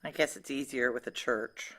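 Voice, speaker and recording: A woman's voice: very deep voice, fairly even intonation, moderate pace, fairly bright tone, no echo, heavily acted. An actress playing a wry, guarded, jealous woman on the run, in dry, clipped noir audio drama dialogue and inner monologue. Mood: guarded, probing.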